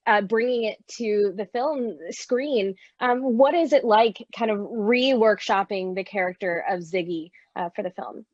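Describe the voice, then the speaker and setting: A woman's voice: medium pitch, measured pace, hardly any pauses, no room sound. A woman moderating a casual conversation in a playful, chatty way, heard through lo-fi call audio.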